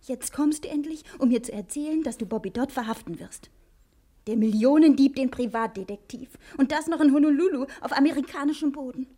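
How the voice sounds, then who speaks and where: A woman's voice: high voice, a little fast, dark tone, lightly acted. A woman playing a worried, reproachful wife in a German radio crime-comedy serial, with a plaintive, sighing, dramatic delivery. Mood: exasperated.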